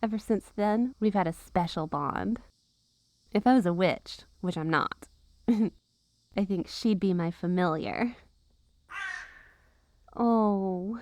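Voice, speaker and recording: A woman's voice: mid-range voice, slow, pauses often, darkish tone, no echo, close to an everyday voice. A woman playing a possessive, witchy girlfriend in an ASMR roleplay, teasing and cooing with sugary sweetness and an undertone of menace. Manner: teasing, cooing, sing-song baby talk to pets.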